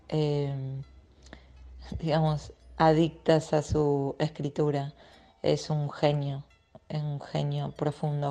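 A woman's voice: sits low, dark tone. A woman with an Argentine accent giving reflective interview answers, hesitating as she searches for the right words. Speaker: interviewed writer and co-author.

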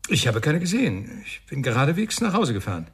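A man playing a shaken father in a German radio crime drama, speaking in a hesitant, halting way. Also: medium pitch, sing-song, husky.

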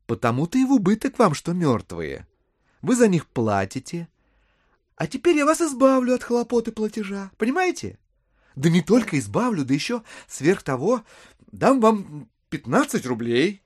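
An actor playing a scheming, smooth-talking gentleman swindler in a classic audio drama, insistent, wheedling and easily exasperated. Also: high voice, huge pitch swings, normal speed, no echo, clean studio sound, full character voice. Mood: persuasive, insistent. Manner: insistent, wheedling, theatrically emphatic.

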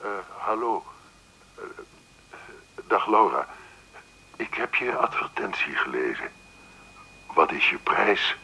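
An actor playing a furtive, awkward male caller in a radio play, murmuring haltingly in a deep, gravelly, breathy voice. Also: sing-song, bright, forceful tone, heavily acted, rough recording. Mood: furtive, embarrassed.

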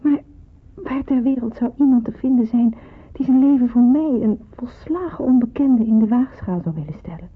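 An actress playing an earnest, resigned young nurse in a radio drama, speaking hesitantly. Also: female voice, a little high, moderate pace, slightly rough. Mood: brooding, troubled. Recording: muffled, narrow-band old radio play, hissy.

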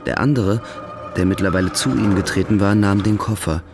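A man's voice: on the low side, fairly quick, over music. A man narrating a radio drama in scripted literary prose.

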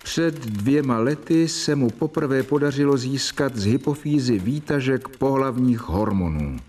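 Man as an earnest doctor, lively intonation. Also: mid-range voice, natural voice. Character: earnest, deferential male doctor, the professor's devoted assistant. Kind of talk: radio play narration and dialogue.

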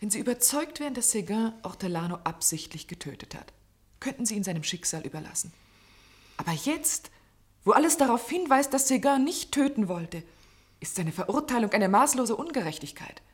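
A woman playing an earnest, persistent investigator who speaks deliberately and insistently in a radio play. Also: on the high side, sing-song. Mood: earnest, pleading. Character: earnest, persistent woman questioning a murder conviction. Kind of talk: German radio play acting.

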